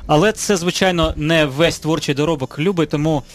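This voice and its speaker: A man hosting a radio interview, speaking in halting, hesitant phrases. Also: fairly high pitch. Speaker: radio host. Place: radio studio.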